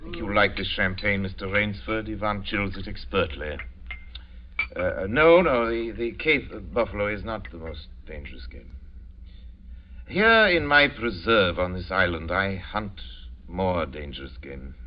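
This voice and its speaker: A very deep-voiced aristocratic hunter, courtly on the surface and menacing underneath. His delivery is grand and theatrical, in the style of forties radio drama. The sound is muffled, like an old broadcast. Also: very animated, measured pace. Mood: proud, relishing. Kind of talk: 1940s radio drama acting.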